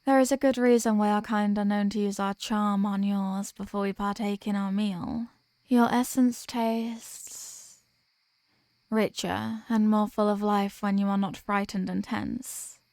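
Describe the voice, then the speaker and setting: A woman's voice: mid-range voice, steady tone, a little slow, darkish tone, dry recording, studio quality, lightly acted. A woman playing a possessive, dominant vampire in an ASMR roleplay, speaking in a teasing, condescending manner with formal phrasing. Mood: teasing, seductive. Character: possessive, dominant female vampire toying with captive prey. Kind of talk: ASMR roleplay, scripted intimate monologue.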